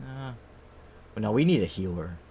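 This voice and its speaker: A man with a low-pitched voice streams a video game. He talks casually and ramblingly, thinking aloud through his play. A faint noise sits behind his voice. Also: lively intonation, normal speed, vocal fry, mellow. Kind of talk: casual live gameplay commentary.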